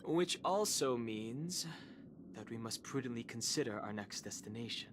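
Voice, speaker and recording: An actor playing a reserved young man who speaks in a dry, quiet way, over game music. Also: medium pitch, expressive, moderate pace, background noise, lightly acted.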